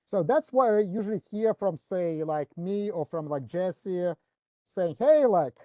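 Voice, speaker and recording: A man's voice: sits high, lively intonation, a little slow, mellow, dry recording, dull audio. A man speaking in a webinar, explaining a work process with many fillers and restarts. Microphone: video call.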